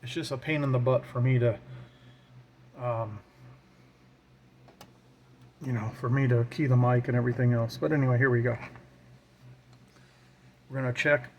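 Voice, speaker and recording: A man's voice: mid-range voice, fairly even intonation, moderate pace, pauses often, lo-fi sound. A man giving an informal hands-on tutorial as a hobbyist, thinking aloud while he works. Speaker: radio hobbyist demonstrating his equipment. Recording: clear background noise.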